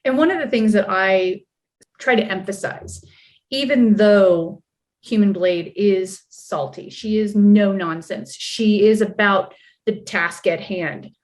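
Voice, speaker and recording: A woman's voice: medium pitch, takes it slowly. A woman interview guest talking casually and reflectively, with thoughtful emphasis on key words.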